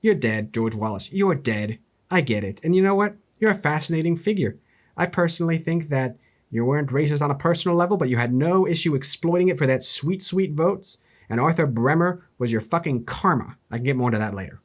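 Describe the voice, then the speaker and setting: A man's voice: fairly high pitch, expressive, a little fast. A man from New Jersey reading an old political speech aloud and breaking into sarcastic, profane commentary. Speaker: YouTube history commentator.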